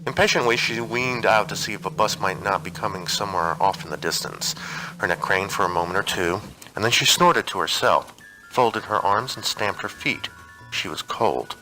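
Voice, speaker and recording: A man's voice: on the low side, normal speed, bright, forceful tone, soft background music, poor audio. A man reading a piece of fiction aloud as a narrator, in a scripted audiobook style.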